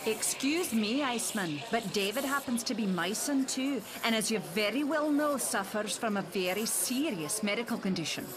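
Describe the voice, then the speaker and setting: A woman's voice: on the high side, lively intonation, moderate pace, hardly any pauses, over music, natural voice. A woman playing an anxious, defensive doctor and mother in a motion comic, earnest and pointed. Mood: indignant.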